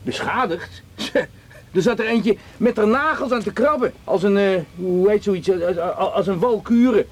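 High-pitched, indignant, flustered cinema owner. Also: male voice, unhurried. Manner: agitated, stammering, repetitive complaining. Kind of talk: radio drama acting. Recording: noisy radio play recording with clicks.

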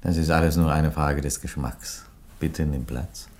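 An actor playing an older, world-weary Roman emperor in a radio play, phlegmatic, unhurried and dryly ironic. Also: male voice, rumbling low, fairly even intonation, darkish tone, a little hiss or hum. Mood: amused, courteous. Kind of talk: theatrical radio play dialogue.

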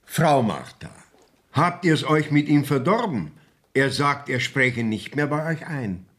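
An actor playing a courteous, probing court inspector in a radio play, speaking measured, formal verse with a husky voice. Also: male voice, mid-range voice, expressive, a bit bright. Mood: suspicious, questioning. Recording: 1970s radio drama studio recording.

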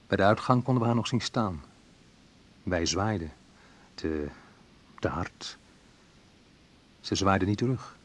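Slightly husky, low-voiced wistful narrator. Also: male voice. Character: wistful man recounting his youth. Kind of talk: literary first-person radio play narration.

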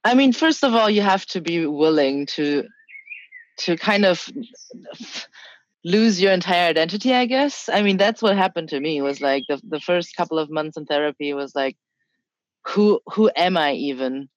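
A woman's voice: lowish voice, lively intonation, normal speed, dry recording, dull audio. Hesitant woman from Germany, dry sound. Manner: hesitant, many restarts and fillers. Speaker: guest. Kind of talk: casual interview talk.

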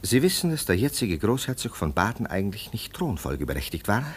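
A man acting a scornful, self-assured police lieutenant in a German radio play, with a husky, emphatic, sardonic delivery. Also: fairly low pitch, sing-song, brisk, slight background noise. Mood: confiding.

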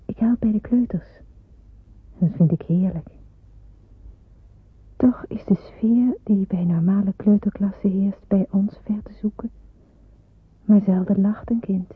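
A very breathy, soft young woman in a wartime radio drama. She is gentle and reflective, speaking with quiet restraint. The old recording is narrow and tinny, like a telephone. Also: mid-range voice, fairly even intonation, a little slow, pauses often, no echo. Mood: wistful, reflective. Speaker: narrator and lead character of a radio drama. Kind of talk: first-person radio drama narration and dialogue.

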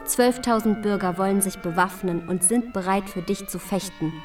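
A woman's voice: mid-range voice, moderate pace, darkish tone, distant mic, faint music behind, close to an everyday voice. An actress playing a proud, resolute early 19th-century queen reading her letters to her husband in a formal, imploring way. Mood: earnest, proud. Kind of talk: dramatized letter reading in a radio play.

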